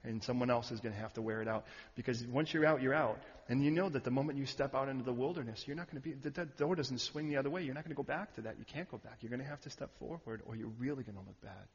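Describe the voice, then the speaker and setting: A man preaching a sermon, animated and joking with the audience, sometimes shouting out a word. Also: mid-range voice, fast talker, hardly any pauses. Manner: animated, shouting at peaks, jokey asides to the audience. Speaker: pastor. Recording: muffled hall recording.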